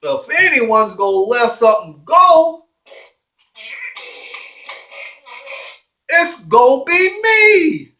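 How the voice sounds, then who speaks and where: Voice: mid-range voice, lively intonation, measured pace, fairly bright tone, voice pushed up. A storyteller playing an irritable homeowner, a man who talks in a blunt, emphatic, indignant way. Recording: roomy, echoing room sound.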